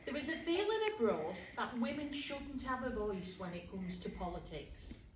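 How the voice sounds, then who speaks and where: A woman playing a rousing working-class suffragist, giving an emphatic rally speech with deliberate pauses on stage. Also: fairly high pitch, big pitch swings. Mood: pointed, indignant. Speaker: actor performing a monologue. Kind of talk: theatrical political rally speech. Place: public hall, live performance before an audience. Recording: roomy, distant, noisy hall recording.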